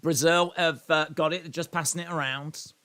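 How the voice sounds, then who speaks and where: A man's voice: fairly high pitch, fairly even intonation, normal speed, sharp tone. A man in his thirties from England, hosting a casual live watch-along stream, chatting, rambling and reading out viewer comments with self-deprecating asides.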